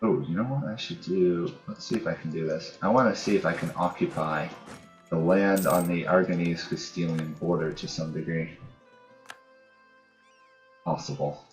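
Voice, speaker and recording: A man with a low voice narrates his own strategy gameplay in a casual way. He thinks aloud as he goes, often muttering in short fragments to himself. Faint music plays behind his voice. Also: normal speed, poor audio. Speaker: gamer, host of a let's play series.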